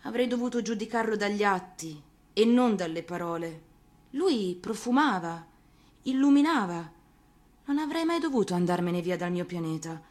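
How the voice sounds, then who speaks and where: A woman playing a gentle, earnest young prince in a storybook, speaking in a simple, confiding manner. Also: mid-range voice, expressive, normal speed, natural voice. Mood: regretful, tender.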